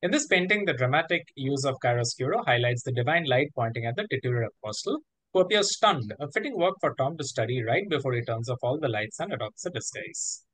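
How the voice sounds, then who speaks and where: Animated quiz master, man, slightly husky voice. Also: medium pitch, fast, no room sound, low-quality recording. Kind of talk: reading quiz questions and ruling on answers.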